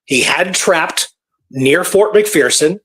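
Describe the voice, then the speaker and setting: Higher-voiced man talking history casually. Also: lively intonation, slow pace, fairly bright tone, breathy edge. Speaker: radio show host. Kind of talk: casual storytelling discussion of a historical case.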